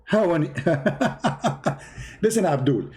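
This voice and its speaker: A man's voice: sits high, expressive, extremely slow, a little mellow. A man whose first language is Arabic, hosting a heated debate in a combative, mocking way, talking over his opponent and throwing insults. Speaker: debate show host.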